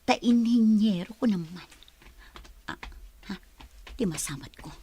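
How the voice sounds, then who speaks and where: A woman's voice: mid-range voice, measured pace, warm tone, a little hiss or hum, close to an everyday voice. A woman acting a distressed young pregnant woman, plaintive and dramatic, in an emotional radio-style melodrama. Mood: brooding.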